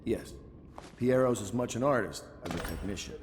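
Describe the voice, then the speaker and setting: An actor playing a blunt, commanding admiral who leads rebel loyalists in a video game. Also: male voice, fairly low pitch, normal speed, slight background noise, lightly acted. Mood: matter-of-fact. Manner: blunt, authoritative. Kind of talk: scripted video game dialogue. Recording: long hall reverb under music.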